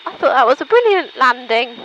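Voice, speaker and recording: A woman's voice: high-pitched, big pitch swings, a little fast, fairly bright tone, lo-fi sound, slight background noise. A woman chatting casually as a passenger, in a playful, appreciative way. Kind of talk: casual chat.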